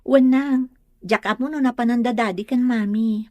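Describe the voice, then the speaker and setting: Audio drama: gentle young wife and daughter played by an actress, fairly high voice, respectful, soothing, northern Philippine accent. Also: female voice, steady tone, normal speed, dry recording, natural voice.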